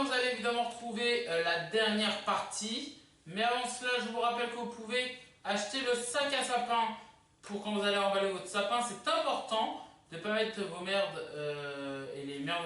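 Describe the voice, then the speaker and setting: A presenter casually hosting a countdown video, chatty and halting, with self-corrections. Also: mid-range voice, pushed and bright. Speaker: host of a countdown video channel. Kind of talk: casual presenting of a ranking list to camera. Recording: echoey room, distant mic.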